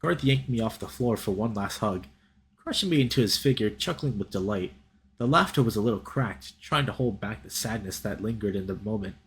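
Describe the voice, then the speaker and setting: A young man doing a playful, expressive let's play, reading a visual novel aloud and bantering with his chat. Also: on the low side, brisk, slight background noise, poor audio. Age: young adult.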